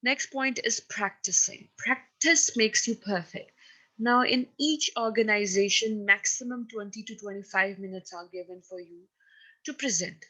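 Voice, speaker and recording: Woman, Pakistani accent, teacher, emphatic, instructive, mid-pitched. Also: unhurried, sharp tone, rough recording. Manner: instructive, emphatic, checks in with listeners. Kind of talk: recorded lecture on presentation skills.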